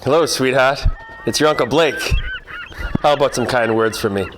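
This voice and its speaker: A man's voice: mid-range voice, moderate pace, natural voice. A man playing a wisecracking, cocky 1940s private detective with wry, world-weary slangy delivery in a radio noir parody. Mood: smooth-talking. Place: theatre production shot before green screen. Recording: echoey hall, faint noise.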